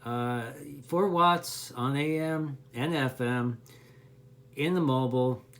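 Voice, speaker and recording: A man's voice: medium pitch, takes it slowly, a bit bright. A man giving unscripted, casual beginner advice as a hobbyist presenter, in a rambling, plainspoken, conversational way. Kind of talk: unscripted casual explanation to camera.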